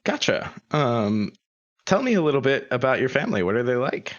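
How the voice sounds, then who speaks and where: A man hosting a casual livestream interview, playful and quick to laugh. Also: mid-range voice, very animated, dull audio. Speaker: interview host.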